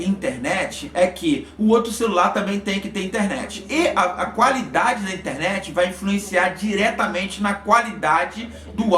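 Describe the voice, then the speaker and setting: A man with a Brazilian accent giving an informal explanation as a vlogger, in a halting, repetitive way with frequent check-in words. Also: high-pitched, fairly bright tone. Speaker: vlogger reviewing motorcycle communication gear. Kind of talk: informal explanatory product talk. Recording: echoey, roomy sound.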